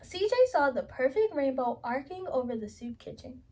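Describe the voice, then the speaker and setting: Female storybook reader, high-pitched, expressive read-aloud delivery. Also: moderate pace, a little hiss or hum. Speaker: teacher reading a picture book aloud.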